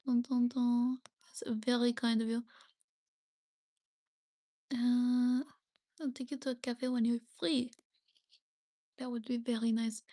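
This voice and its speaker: A woman's voice: a little high, level tone, slow, long pauses, dark tone. A young woman chatting casually on a live stream, reading viewer comments and thanking them often, with frequent hesitations. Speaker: livestreamer.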